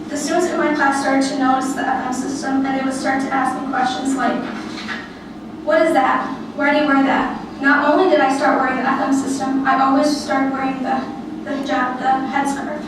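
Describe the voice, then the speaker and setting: A young woman giving a prepared personal speech, reading carefully and deliberately with occasional stumbles and restarts. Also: sits high. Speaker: student giving a presentation. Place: echoey room, recorded from a distance. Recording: echoey room, distant mic, noisy background.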